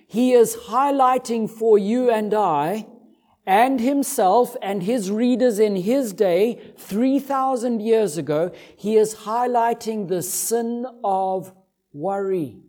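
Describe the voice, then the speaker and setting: Zimbabwean guy preaching, takes his time, soft dark tone, teachy and repeats stuff for emphasis. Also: high voice, slow pace. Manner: didactic, emphatic repetition, acts out examples. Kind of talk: expository sermon.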